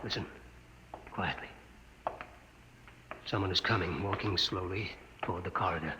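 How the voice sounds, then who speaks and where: An actor playing an excitable, incredulous male spaceship crewman in a 1950s radio drama. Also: deep voice, normal speed, close to an everyday voice. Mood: tense. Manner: exclamatory, incredulous. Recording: noisy old radio broadcast recording.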